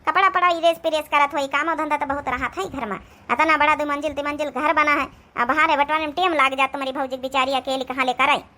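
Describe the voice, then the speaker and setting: A woman's voice: high voice, steady tone, quick pace, bright, forceful tone. A woman voicing an older village mother in a cartoon comedy, resigned and advising, with an Awadhi accent. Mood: placating.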